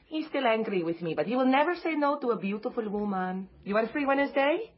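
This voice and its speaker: An actress playing a bossy, flamboyant bar-owning matchmaker with a Latin American Spanish accent, pushy and theatrical, mixing broken English with Spanish. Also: female voice, fairly high pitch, expressive, fairly quick, muffled recording. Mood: brisk, scheming. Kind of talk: radio drama acting.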